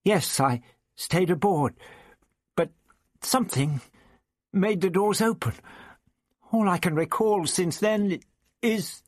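A woman's voice: fairly low pitch, slow, pauses often, no room sound, studio quality, pitched above the performer's own voice. A man voicing a frightened, polite human woman in a story, hesitant and stammering. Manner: hesitant, stammering, breathless pleading. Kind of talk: audiobook character voice.

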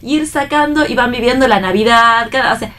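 Higher-voiced Argentine woman explaining casually. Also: brisk, pushed and bright. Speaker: panelist on a radio theatre discussion show. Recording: faint background rumble.

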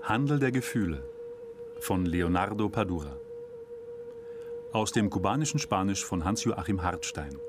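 Casting: male announcer, lowish voice, reading radio drama credits over music. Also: big pitch swings, normal speed, long pauses. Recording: music under the voice.

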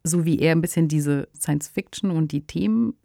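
Mellow, low-voiced woman. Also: moderate pace, creaky, dry recording, studio quality. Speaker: podcast co-host, fiction writer. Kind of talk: conversational literary discussion.

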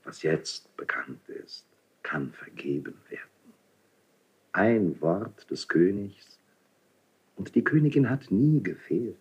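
A man's voice: low voice, big pitch swings, slow pace, darkish tone, husky, full character voice. A man acting an insinuating, obsequious royal priest in a 1970s German radio play, solemn and classical in delivery. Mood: hushed, beseeching. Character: insinuating, unctuous royal priest, feigning reluctance while accusing.

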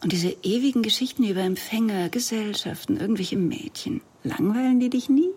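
An actress plays an elegant, self-assured woman of middle age in a radio play. Her mid-pitched voice is breathy and husky, and her speech is controlled, superior and critical. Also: fairly quick, darkish tone, lightly acted. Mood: probing. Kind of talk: radio drama dialogue.

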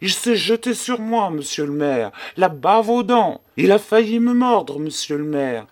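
Notaire paniqué, pompeux, voix haut perchée. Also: male voice, fairly high pitch, big pitch swings, takes it slowly, full character voice. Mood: frightened, boastful. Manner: theatrical, exclamatory, solemn and emphatic. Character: panicky, pompous small-town notary, indignant and melodramatic. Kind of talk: dramatised literary audiobook acting.

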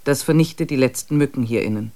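A man's voice: mid-range voice, quick pace, dark tone, natural voice. Man voicing an expedition guy reading diary entries, plain matter-of-fact tone. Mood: sober. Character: weary male expedition member reading his diary. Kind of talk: diary narration in a radio play.

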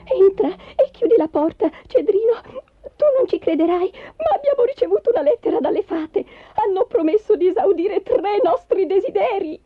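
A woman voicing a bossy, hot-tempered, scolding wife in a storybook tale, exclaiming excitably. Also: very high voice, moderate pace, no echo, phone-quality sound, pitched above the performer's own voice. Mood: excited, eager. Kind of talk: theatrical storybook character voice.

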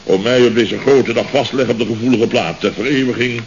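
An actor playing a blustering, pompous middle-aged boss of a building firm in a radio comedy serial, stammering and repeating himself when flustered. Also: male voice, mid-range voice, a little fast, lightly acted. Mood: moved, grandiose. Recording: noisy, dull vintage radio recording.

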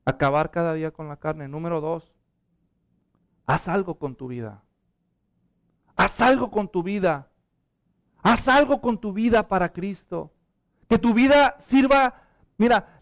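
A middle-aged Mexican man with a Mexican Spanish accent, preaching a sermon, moving from hushed pleas to urgent exhortations. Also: sits high, smooth. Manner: impassioned, drops to hushed prayer then rises to urgent appeals. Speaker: pastor.

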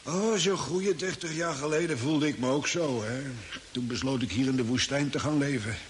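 An actor playing an easygoing old desert hermit in a radio drama, folksy and hesitant in his unhurried talk. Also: mid-range voice, lightly acted. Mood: reminiscing. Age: older. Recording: hissy old radio recording with long reverb.

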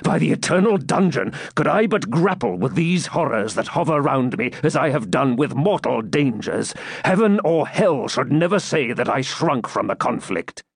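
A man's voice: mid-range voice, animated, normal speed, gravelly, few pauses, breathy edge, no room sound, pitched above the performer's own voice. A man voicing a fierce, stubborn dying baron who growls out defiant speeches in an audiobook.

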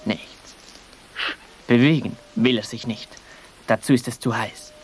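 A man tells a dramatic story for radio. He speaks slowly in clipped, suspenseful fragments, often sinking to a near-whisper, with faint noise behind him. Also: medium pitch, fairly even intonation, rough recording.

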